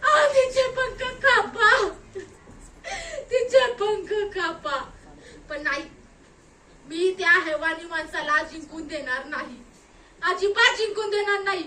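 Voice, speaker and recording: A woman's voice: very high-pitched, animated, slow, long pauses, pushed and bright, full character voice. A woman playing an anguished, defiant young acid attack survivor in a melodramatic, tearful theatrical monologue, repeating her phrases. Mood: bitterly sarcastic. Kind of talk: theatrical one-act stage monologue. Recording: echoey room, distant mic, noisy.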